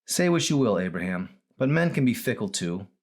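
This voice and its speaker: A man voices a young woman volunteering at a hospital in a storybook. She is sassy and teasing, with lively, varied pitch. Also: a little fast.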